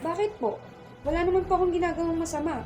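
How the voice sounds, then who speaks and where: A woman's voice: very high voice, fairly even intonation, fast talker, over music. A woman playing a humble, meek but steadfast mother in a radio play, speaking deferentially and pleadingly. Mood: distressed. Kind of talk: radio play acting in Filipino.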